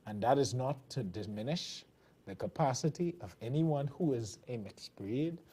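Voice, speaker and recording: Bahamian guy ranting on his tv show, dark mellow voice, defiant and preachy. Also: medium pitch, animated, normal speed, breathy edge. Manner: defiant, emphatic, preaching cadence. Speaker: TV commentary show host. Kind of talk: fiery political commentary. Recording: television broadcast.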